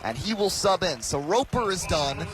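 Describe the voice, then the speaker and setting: A man in his early twenties doing live radio basketball play-by-play, with an emphatic, excited delivery that rises to shouts. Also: high-pitched, moderate pace. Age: early 20s. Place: courtside in a large arena.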